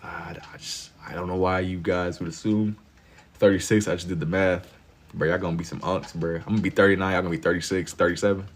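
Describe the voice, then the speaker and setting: Young man, very low voice, livestream host, casual slang, laughs and gets loud when teased. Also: little pitch movement, fast talker. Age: 20s. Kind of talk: casual chat with viewers while drawing.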